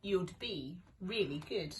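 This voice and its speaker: A woman's voice: on the low side, expressive, slow, slight background noise, low-quality recording. A woman voicing an emphatic, exclamatory neighbour dog in a storybook. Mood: encouraging. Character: neighbourhood dogs, expressive, exclamatory townsfolk of a storybook.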